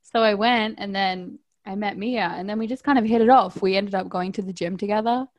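A woman's voice: mid-range voice, brisk. A woman in her twenties from Australia, co-hosting a podcast in laid-back, laughing chat. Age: 20s. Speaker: podcast co-host. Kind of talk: casual chat over a phone call.

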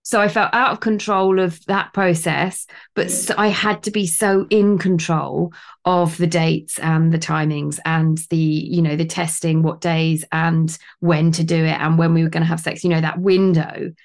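A woman's voice: low voice, normal speed. Candid woman hosting a podcast. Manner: animated, candid, swears casually. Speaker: podcast host. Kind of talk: casual conversation.

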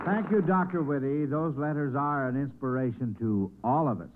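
A man hosting an old-time radio quiz show, addressing children in an animated, folksy, encouraging way. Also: medium pitch, moderate pace, noisy background. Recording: old radio broadcast, thin phone-like band.

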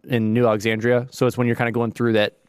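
A man of middle pitch co-hosts a gaming podcast in an upbeat, playful way, jumping up in excitement now and then. His voice sounds dry and close to the mic. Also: fast, smooth, no room sound. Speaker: podcast co-host. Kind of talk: casual gaming podcast chat.

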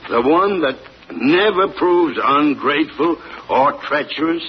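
Casting: a drawling old farmer who pleads for his dog, slow and lively in pitch, dull old radio sound. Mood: pleading. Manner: slow plain rural drawl, earnest. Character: old farmer on trial, defending his hound. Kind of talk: 1940s radio acting. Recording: dull old radio broadcast, faint hiss.

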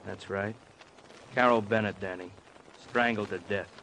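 A man's voice: lowish voice, steady tone, unhurried. An actor playing a somber, matter-of-fact policeman in a 1950s radio crime drama, speaking in short blunt fragments. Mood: grim, subdued. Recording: faintly noisy old radio recording.

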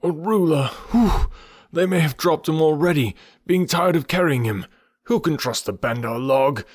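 A fretful old bear as a man reads him, his voice climbing high and loud as he frets and wails. The dry, close sound sits right at the ear. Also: animated, dry recording. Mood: wailing. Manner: loud, high and wailing, drawn-out cries. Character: anxious fussing old male bear, wailing and lamenting.

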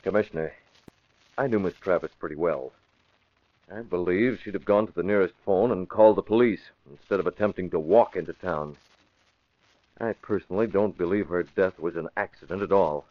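Actor as a deep-voiced, determined radio hero. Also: male voice, fairly quick, dry recording, natural voice. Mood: firm, doubtful. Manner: firm, insistent. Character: determined, skeptical young man, investigator hero. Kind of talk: 1940s radio drama acting. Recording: dull vintage radio recording.

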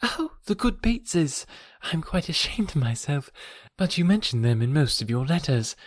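A man's voice: a little high, very animated, moderate pace, no echo, studio quality, pitched above the performer's own voice. A man voicing an affectionate young mother who speaks eagerly and politely, in an audiobook. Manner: eager, polite, softly raised. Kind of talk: audiobook character reading.

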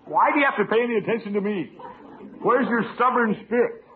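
An actor playing a pompous, exasperated middle-aged uncle in a blustery, grumbling 1940s radio comedy style. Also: male voice, high voice, expressive, quick pace. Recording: noisy, tinny old radio recording.

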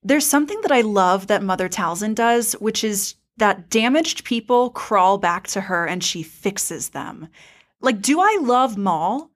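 A woman's voice: mid-range voice, lively intonation, a little slow. A woman hosting a podcast, chatting casually about fandom in a playful, enthusiastic way. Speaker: podcast host. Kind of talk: casual fan discussion.

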